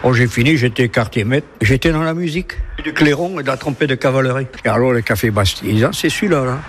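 A man's voice: medium pitch, quick pace. A man in his eighties, a retiree, chatting casually in a street interview in his hometown, rambling and tacking "hein" onto his sentences. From France, Soule (Basque Country). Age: 80s. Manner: rambling, repeats "oui, oui" and "hein". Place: town centre, outdoors.

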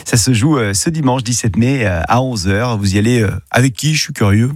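A man's voice: medium pitch, a little fast, no echo, clean studio sound. A man hosting a local radio call-in quiz, chatty and teasing, slipping into English jokes. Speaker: radio host. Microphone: studio microphone.

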